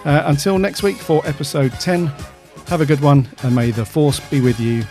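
Casting: male co-host, mellow mid voice, friendly and easygoing, music bed underneath. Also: on the high side, steady tone, moderate pace. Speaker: podcast co-host. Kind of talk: casual chat.

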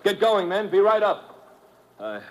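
An actor playing a lanky American engineer who bosses a work crew in a 1950s radio drama. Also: male voice, high-pitched, very animated, measured pace. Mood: commanding. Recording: dull, noisy vintage radio recording.

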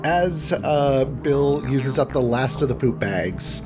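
A man's voice: mid-range voice, lively intonation, takes it slowly, music in the background. A man speaking casually as a podcast host and game master. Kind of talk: casual intermission announcement and game narration.

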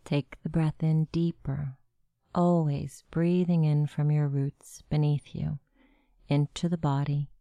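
Woman, meditation guide, mellow tone, very unhurried, gentle instructional delivery, dry recording. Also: low-pitched, flat delivery, dry recording.

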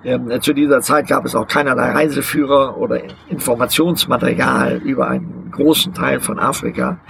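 Gravelly older man, hesitant storyteller. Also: mid-range voice, animated, noisy background. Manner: deliberate, hesitant, many filler sounds. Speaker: interviewee, long-term overland traveler. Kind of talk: outdoor interview. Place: outdoors beside a vehicle museum.

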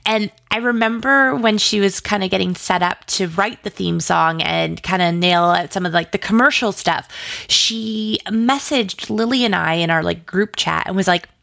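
A woman speaks as a guest in a casual interview, with a medium-pitched voice and very little room sound. Her manner is enthusiastic and gushing, and she strings thoughts together with plenty of "like". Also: normal speed, gravelly, a bit bright, no echo. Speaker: interview guest, audio drama writer and producer.

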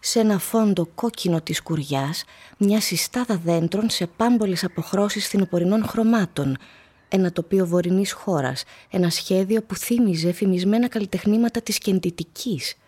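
A woman narrating a literary radio play in a measured, deliberate manner. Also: medium pitch, dark tone, slightly rough.

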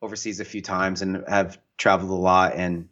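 A low-pitched man from the US Northwest chats as an interview guest. He tells loose, animated stories full of "like" and "you know" and acts out the voices of people he quotes. The sound is muffled and lo-fi. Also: a little fast. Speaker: guest author and painter. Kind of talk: casual conversational interview.

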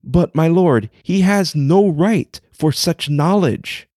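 Guy putting on a cocky dragon king, storybook style, pitched up and loud, haughty. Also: lively intonation, slow, no echo, clean studio sound. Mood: pleading. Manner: haughty and indignant. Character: arrogant proud male river dragon king.

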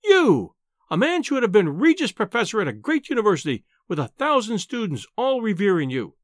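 A man's voice: very high-pitched, wildly swooping pitch, slow pace, dry recording, clean studio sound, pitched above the performer's own voice, exaggerated voice. A man voicing a small, furious, indignant wife in an audiobook, screaming and wailing through her scolding. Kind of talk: audiobook character acting.

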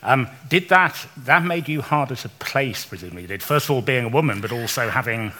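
A man interviewing a guest at a live festival event, asking hesitant, halting questions full of ums. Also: medium pitch, lively intonation, sharp tone.

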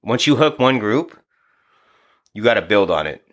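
A man in his thirties, a boxing coach and former DJ, talking casually and profanely on a podcast, telling stories and acting out quick asides. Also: fairly low pitch, very animated.

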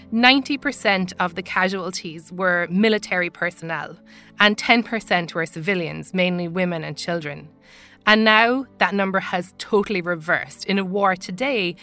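A woman with an Irish accent, hosting and narrating a podcast intro in an earnest, measured way. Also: mid-range voice, pushed and bright. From Ireland. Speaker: podcast host. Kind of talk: scripted narration.

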